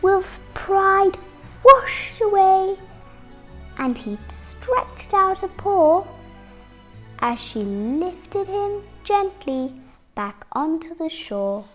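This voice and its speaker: Young girl, about eight, for picture-book narration: high voice, very unhurried, dramatic phrasing, faint music underneath. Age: 8-year-old child. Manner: expressive, dramatic phrasing with deliberate pauses. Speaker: young storybook reader. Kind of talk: children's picture-book read-aloud.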